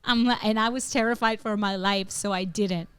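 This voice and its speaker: A young adult woman from northern Italy co-hosting a podcast in casual chat, telling animated stories and leaping into high voices for quotes. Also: normal speed, smooth, no echo. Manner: animated storyteller, jumps into high acted quotes. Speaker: podcast co-host.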